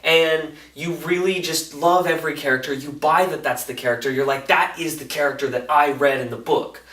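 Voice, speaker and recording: Echoey, higher-pitched man, casual film reviewer. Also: fairly quick. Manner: animated, colloquial, acts out reactions in quoted asides. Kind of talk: casual enthusiastic movie review vlog. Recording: roomy echo, distant microphone.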